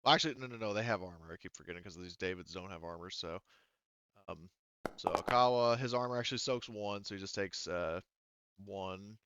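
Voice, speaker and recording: A man's voice: low voice, fairly quick, pushed and bright, clean tone, no room sound, lo-fi sound. A man speaking casually as a tabletop game master, thinking aloud hesitantly as he works through the rules.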